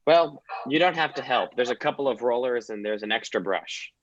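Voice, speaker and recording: A man's voice: medium pitch, expressive, moderate pace, muffled recording, slight background noise, natural voice. A man playing a fussy, exasperated police detective and dog owner in a comedic radio play. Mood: irritated.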